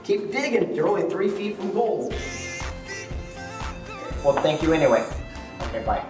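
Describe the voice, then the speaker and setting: A man's voice: sits high, sing-song, unhurried, roomy sound, far from the mic, over music. A man in his forties hosting a documentary, narrating in an upbeat, chatty way and laughing often. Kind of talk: casual documentary vlog narration. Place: various indoor and outdoor locations.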